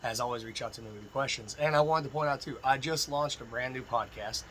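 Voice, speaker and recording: A man with a slightly froggy, hoarse voice gives informal, emphatic instruction as a distilling teacher talking to viewers. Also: mid-range voice, fast talker, fairly bright tone, slight background noise, poor audio. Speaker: distilling instructor and video host. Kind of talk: informal instructional talk to camera.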